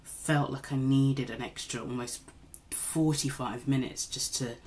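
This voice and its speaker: A woman's voice: very deep voice, steady tone, unhurried, darkish tone, slight background noise. A woman recovering from a cold, slightly nasal, chatting in a rambling, self-deprecating way while doing her makeup at home. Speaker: beauty vlogger. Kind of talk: casual makeup tutorial chat.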